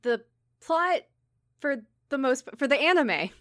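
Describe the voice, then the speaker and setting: A woman's voice: sits high, sing-song, slow, a bit bright. A woman co-hosting a podcast, chatting casually in an apologetic, giggly and hedging manner. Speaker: podcast co-host. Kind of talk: casual chat about anime.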